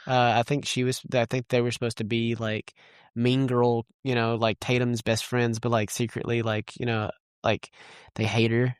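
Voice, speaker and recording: A man's voice: mid-range voice, level tone, normal speed, smooth, no room sound, clean studio sound. A man co-hosting a podcast in casual movie chat, rambling and blunt, swearing freely. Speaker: podcast co-host.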